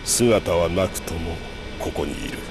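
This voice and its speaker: Deep, echoing calm mastermind. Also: male voice, a little slow, background noise. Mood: solemn, coaxing. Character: calm sinister male revolutionary mastermind, disembodied and fatherly. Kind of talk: anime game voice acting. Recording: echoing game cutscene audio with background music.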